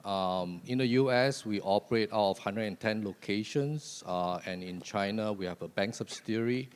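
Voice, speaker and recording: Bank rep guy on a panel, lowish voice, lots of uhs, long hall echo. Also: clean tone. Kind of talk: panel self-introduction. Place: conference hall.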